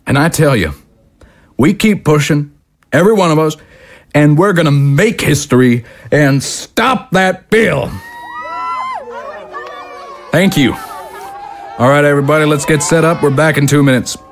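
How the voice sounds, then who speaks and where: An actor playing a fiery, short-tempered political broadcaster and movement leader in an audio drama, speaking emphatically. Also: male voice, on the high side, measured pace, long pauses, some chatter behind. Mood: rousing, proud. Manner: combative, emphatic, oratorical. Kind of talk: dramatic audio screenplay acting.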